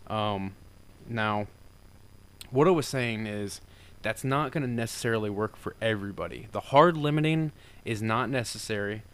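A low-voiced man with a smooth, clean tone walks through a software tutorial. He talks informally and rambles a bit, using lots of fillers. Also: moderate pace. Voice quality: deeper voice. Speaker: tutorial maker. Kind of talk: casual screen-recorded software tutorial.

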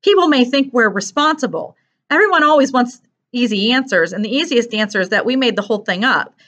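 A woman's voice: fairly high pitch, lively intonation, a little fast, fairly bright tone, lo-fi sound, pitched above the performer's own voice. A woman voicing a tough, confident teenage girl in an audiobook, speaking bluntly and with self-assurance. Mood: skeptical, matter-of-fact.

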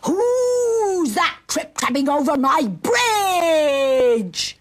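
Woman putting on a slow, roaring storybook troll. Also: high voice, very animated, noisy background, voice pushed up, heavily acted. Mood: menacing. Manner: slow, drawn-out roaring threats. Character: ugly, menacing male troll under a bridge.

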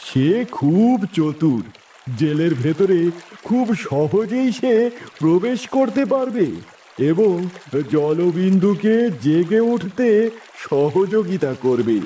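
A man's voice: sits high, lively intonation, slow, dark tone, a little hiss or hum. A man voicing a kindly elder-brother turtle in a fairy-tale cartoon, speaking in a reassuring, coaxing manner. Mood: helpful, assured. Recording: distant voice with music bed.

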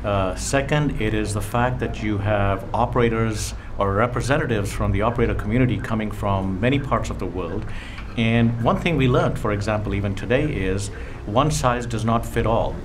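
An older man giving a spontaneous interview answer in measured, deliberate phrases. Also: on the low side. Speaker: conference attendee being interviewed. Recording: clear background noise. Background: ambient noise.

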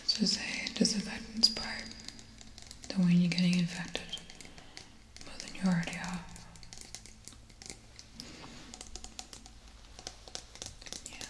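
A young woman playing a wary, caring spaceship crewwoman in a soft, breathy, unhurried ASMR roleplay whisper. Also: lowish voice, level tone, reverberant, lightly acted, low-quality recording. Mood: soothing, matter-of-fact. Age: young adult. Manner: soft, unhurried, muted delivery.

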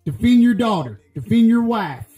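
Man playing a crude loudmouth country guy, rambling profane rant, very high voice, echoey room. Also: very animated, moderate pace, soft background music. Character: crude loudmouth rural American man voter.

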